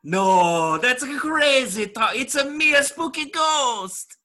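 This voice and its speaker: A man playing a fake spooky ghost with a put-on, weak Italian accent, talking in a deadpan, bluffing way. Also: extremely high pitch, slow pace, full character voice. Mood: mock spooky.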